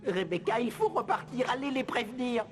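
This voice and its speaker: A timid cartoon boy, voiced by a woman, whines and stammers in a high, very animated voice. He frets like a reluctant young sidekick, with music playing clearly behind him. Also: brisk, close to an everyday voice. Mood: anxious. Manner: stammering, fretful. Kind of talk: French cartoon dubbing.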